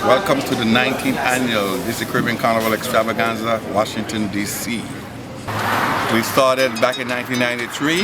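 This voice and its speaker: A man, a carnival organizer, speaking formally like an announcer. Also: medium pitch. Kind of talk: formal address and meeting talk.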